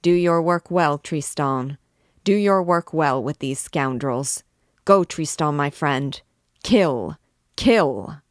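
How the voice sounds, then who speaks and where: A woman's voice: low-pitched, slow pace, dry recording, clean studio sound. A woman reading as a wrathful old king. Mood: furious. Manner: commanding, rising to shouted orders. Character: old fierce male king, wrathful and cunning.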